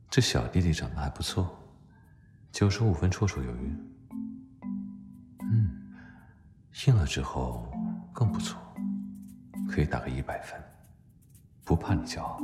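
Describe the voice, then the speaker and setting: A man's voice: deep voice, sing-song, unhurried, long pauses, loud music behind the voice. A man playing a curt, stern but privately flirtatious doctor in an audio drama, with a cool, sardonic manner. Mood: smug, amused.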